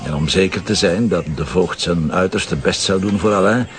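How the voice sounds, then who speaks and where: A man's voice: deep voice, moderate pace, lightly acted. A man with a Flemish accent playing a self-assured, teasing police commissioner in an audio drama, explaining things wryly. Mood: explaining, earnest.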